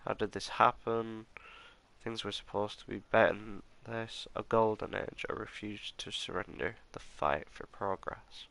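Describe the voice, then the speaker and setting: A man hosting a let's play who sounds slightly nasal from allergies, musing and reading in-game documents aloud in a soft murmur. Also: lowish voice, steady tone, unhurried, gravelly. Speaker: gaming channel host.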